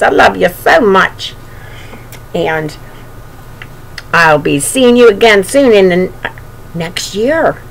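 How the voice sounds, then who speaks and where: A woman's voice: mid-range voice, vocal fry. An older woman reading a storybook aloud and chatting affectionately to young children. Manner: affectionate, talking to small children. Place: small bedroom indoors. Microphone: webcam at arm's length. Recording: noisy home webcam recording.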